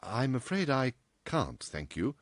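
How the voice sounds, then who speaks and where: A polite young secretary in an audiobook, a woman played by a male reader. She is halting and easily flustered, softly lifting the pitch with lively swings. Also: dry recording. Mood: weary. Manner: hesitant, halting, stammering politeness.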